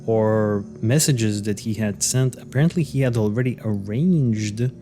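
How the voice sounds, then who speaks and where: A man's voice: fairly low pitch, unhurried, dark tone, soft background music. A man co-hosting a podcast, recounting a case in loose, hesitant casual chat full of fillers and restarts. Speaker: podcast co-host. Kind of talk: casual conversational storytelling.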